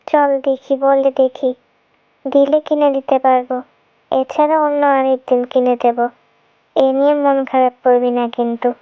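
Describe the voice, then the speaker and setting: A woman voicing a humble, gentle widowed mother fox in a children's cartoon story. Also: high voice, level tone, normal speed, clear, resonant tone, no echo, phone-quality sound, natural voice. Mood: explaining.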